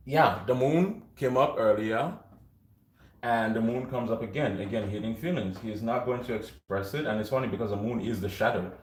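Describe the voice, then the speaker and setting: A man with a deep, low voice giving a rambling tarot reading to a viewer, often breaking off mid-thought, recorded without a microphone. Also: slight background noise. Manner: rambling, self-interrupting, frequent fillers. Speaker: tarot reader. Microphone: no microphone, built-in device mic. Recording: echoey room.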